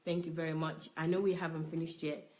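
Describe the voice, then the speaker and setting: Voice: mid-range voice, flat delivery, fast, a little mellow. Reflective speaker leading a book talk. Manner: reflective, with frequent restarts and fillers. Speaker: book review discussion leader. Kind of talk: reflective personal sharing.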